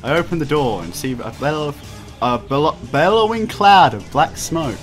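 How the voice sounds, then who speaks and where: A man's voice: a little high, sing-song, normal speed. A young man playing a video game, reading its text aloud with stumbles and joking asides. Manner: stumbling read-aloud delivery, often breaking into laughter. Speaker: let's player reading a visual novel aloud. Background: video game music.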